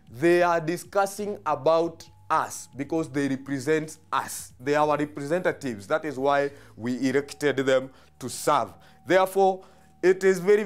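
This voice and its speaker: Kenyan male teacher, slightly high voice. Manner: explanatory, repeats key terms, frequent uh fillers. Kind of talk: televised classroom lesson.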